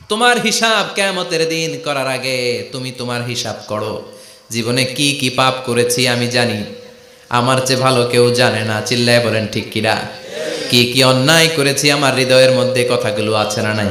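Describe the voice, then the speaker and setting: A man preaching an Islamic sermon in a declamatory, rising and falling cadence, chanting quotations and calling on listeners to respond. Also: mid-range voice. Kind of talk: fervent Bengali-style waz sermon with chanted Arabic quotations. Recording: echoing loudspeaker sermon sound.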